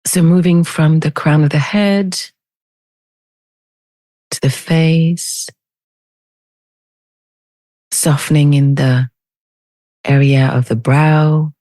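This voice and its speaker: Female meditation teacher, low mellow voice, very measured delivery, close dry sound. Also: no room sound. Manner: gentle, unhurried, with long pauses. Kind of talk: guided meditation.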